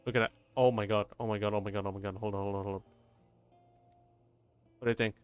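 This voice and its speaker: A man's voice: fairly low pitch, level tone, fast, clear, resonant tone, faint music behind. A man livestreaming a game, chatting casually and informally, often thinking aloud and repeating his phrases. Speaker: game streamer. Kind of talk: casual livestream gameplay chat.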